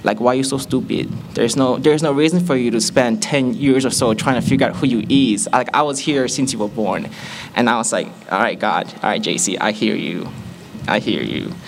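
A man's voice: medium pitch, normal speed, creaky, noisy background. A young man from Malaysia, a student giving an earnest, candid personal testimony to a group, with playful asides to the audience. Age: young adult.

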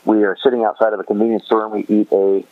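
A man's voice: fairly low pitch, flat delivery, racing, no room sound, narrow, tinny audio. A man chatting casually as a podcast guest, easygoing and given to rambling explanations, over a remote call. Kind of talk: casual conversational chat.

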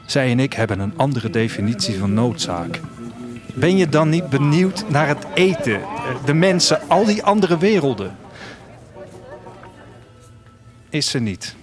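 A man playing a restless, wistful traveller, narrating his story in a reflective, measured literary audio drama style. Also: medium pitch, sing-song, close to an everyday voice. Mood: restless, eager. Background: music.

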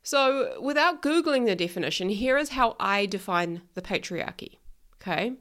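A woman's voice: medium pitch, lively intonation, moderate pace, a bit bright. Kiwi lady podcast host, bit stuffy and nasal, blunt and outspoken, says it like it is. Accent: New Zealand. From New Zealand. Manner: frank, outspoken, swears. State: nasal from illness. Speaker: podcast host, life coach. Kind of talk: casual solo podcast monologue.

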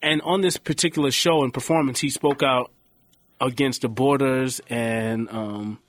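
A man's voice: medium pitch, steady tone, unhurried, no room sound. A man from Queens, New York, co-hosting a podcast in casual, blunt and profane chat that rambles with restarts. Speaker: podcast co-host.

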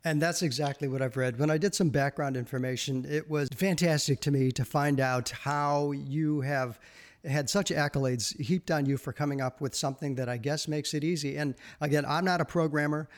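Higher-pitched man hosting a podcast. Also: steady tone, normal speed. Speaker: podcast host. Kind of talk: conversational interviewing.

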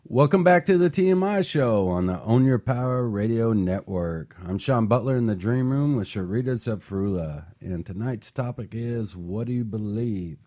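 A man's voice: fairly low pitch, animated, normal speed, few pauses, dark tone. A man hosting a radio talk show, introducing and presenting to listeners and guests.

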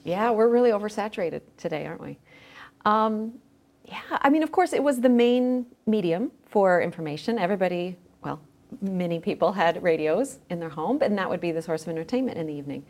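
A woman's voice: on the low side, animated, a little fast, darkish tone. A middle-aged woman speaking as a scholarly guest in a thoughtful podcast interview. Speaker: guest, theology scholar with drama background.

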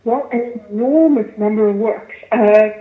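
A woman with a South African accent giving articulate, measured answers as a guest in a radio interview. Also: fairly high pitch, echoey room, phone-quality sound. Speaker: arts festival chief executive, interview guest.

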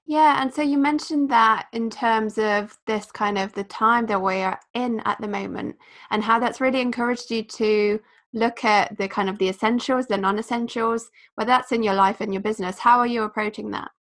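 A woman from the UK hosting a podcast interview, asking questions in a gentle, hesitant way. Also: on the high side, fairly even intonation, normal speed. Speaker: podcast host. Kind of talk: casual interview chat.

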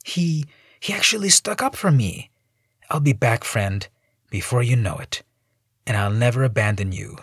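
A man voicing a despairing, lonely man on a ledge who talks in a whiny, pleading voice that climbs high. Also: lively intonation, moderate pace, breathy edge, no room sound, clean studio sound. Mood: hopeful.

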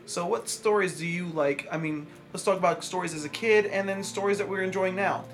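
A man's voice: high voice, a little fast, fairly bright tone, faint music behind. A man hosting a podcast, chatting casually and telling stories playfully. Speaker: podcast host. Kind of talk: casual chat, playful storytelling.

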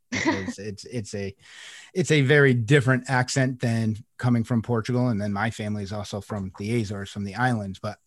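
Man, podcast coach, relaxed explanations, restarts phrases, mid pitch, dry close sound. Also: dry recording. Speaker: life coach, podcast co-host. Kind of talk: casual conversational podcast chat.

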